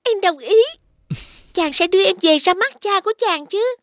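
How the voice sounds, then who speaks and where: A woman playing a gentle, devoted young maiden in an animated fairy tale, speaking with formal courtesy. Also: very high voice, moderate pace, no room sound, clean studio sound. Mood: tender. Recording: clear music behind the voice.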